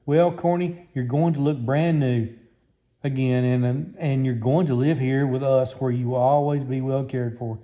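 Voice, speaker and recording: A man's voice: medium pitch, steady tone, measured pace, mellow, natural voice. A man voicing a friendly, cheerful tractor who encourages his best friend in a children's storybook.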